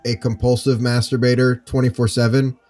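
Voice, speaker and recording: A man's voice: mid-range voice, level tone, brisk, a little mellow. A man in a casual panel discussion, candid and hesitant as he thinks aloud. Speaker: panel participant.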